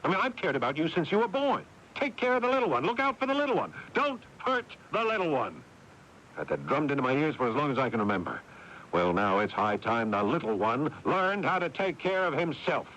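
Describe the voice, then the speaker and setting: An actor playing a world-weary, exasperated older brother who narrates a 1980s radio drama with brooding guilt, in dramatic delivery. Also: male voice, fairly high pitch, big pitch swings, fairly quick, a bit bright, slight background noise. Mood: fed up, angry. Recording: dull lo-fi AM radio broadcast.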